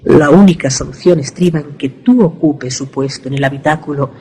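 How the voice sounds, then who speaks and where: A woman's voice: low voice, normal speed, warm tone, slightly rough. A woman playing the ghostly projection of a dead lover in a radio drama, earnest and solemn, loving and urgent. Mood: grave warning.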